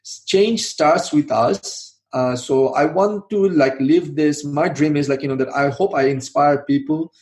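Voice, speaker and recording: A man's voice: fairly high pitch, measured pace, few pauses, a little mellow. A middle-aged man from Bangladesh answering interview questions in a loose, rambling way, full of "you know" and restarts. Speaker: podcast guest, IT manager and founder.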